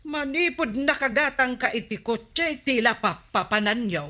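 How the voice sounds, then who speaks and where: A woman's voice: high voice, animated, a little slow, sharp tone, full character voice. A woman playing the scolding, exasperated wife of a village captain in an Ilocano radio comedy drama, with an Ilocano accent. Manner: nagging, questioning.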